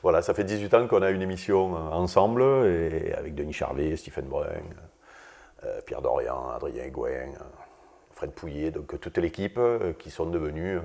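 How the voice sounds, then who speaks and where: A man's voice: very deep voice, normal speed, gravelly, a little mellow. A man, chatting casually in an interview, rambling with lots of hesitant fillers and dry self-mocking humor. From Vaucluse, southern France. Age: 60s. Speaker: guest, former footballer.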